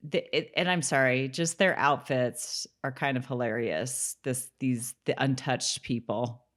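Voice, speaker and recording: Low-pitched woman chatting as podcast co-host. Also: a little slow, fairly bright tone. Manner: chatty, laughs often. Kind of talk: casual chat recapping a TV episode, some scripted summary read aloud.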